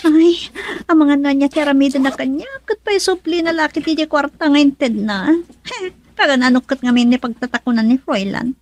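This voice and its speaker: A woman's voice: high voice, moderate pace, a little mellow, close to an everyday voice. An actress with a Filipino Ilocano accent playing a worried young woman making plans in a radio drama.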